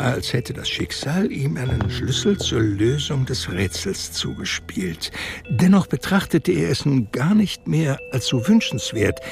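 A man reading literary narration in a German radio drama, measured and reflective. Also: mid-range voice, vocal fry. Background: music.

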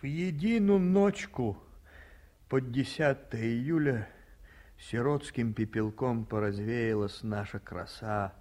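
An actor playing an elderly, talkative provincial hotel keeper in a 1950s radio play, folksy and full of stories, sometimes dropping to a whisper. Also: male voice, medium pitch, takes it slowly, darkish tone, lightly acted. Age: older. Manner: folksy, storytelling, drops to whispers.

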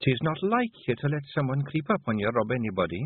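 A man voicing a tiny Scottish wife and clan matriarch, brisk and bossy, in a storybook reading. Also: medium pitch, sing-song, slightly rough, dry recording, phone-quality sound, pitched above the performer's own voice. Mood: coaxing.

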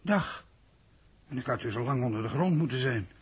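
An actor in a 1960s radio drama playing an earnest, confused and submissive man from an underground city, speaking hesitantly in a husky, gravelly voice. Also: mid-range voice, animated, normal speed. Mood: hesitant, puzzled. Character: thirsty, confused, submissive male city-dweller from a future underground society. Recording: old 1960s radio recording.

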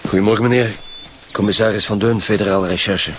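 Man acting a gruff, grumbling Flemish police detective. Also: lowish voice, fairly even intonation, quick pace, background noise, close to an everyday voice. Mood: businesslike. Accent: Flemish Belgian. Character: grumpy senior police detective, scornful of football. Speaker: actor in a radio serial. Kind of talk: naturalistic radio drama acting.

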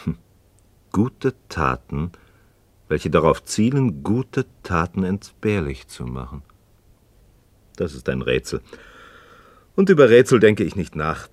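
A man's voice: sits low, sing-song, slow, pauses often, no room sound, full character voice. An actor playing an inquisitive, skeptical man in a radio play, speaking articulate, rhetorical in philosophical dialogue. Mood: musing.

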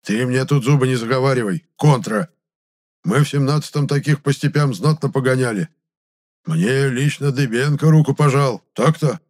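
A man's voice: medium pitch, moderate pace, a little mellow, voice pushed up, natural voice. A man voicing a cranky, forgetful old village grandfather who rambles in folksy rustic speech, in an audiobook story. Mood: indignant.

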